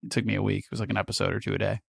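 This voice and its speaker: A sick man with a raspy voice, co-hosting a podcast in a laid-back, joking way. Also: lowish voice, level tone, racing, no echo, clean studio sound. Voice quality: raspy, gravelly. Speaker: podcast co-host. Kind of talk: casual podcast chat.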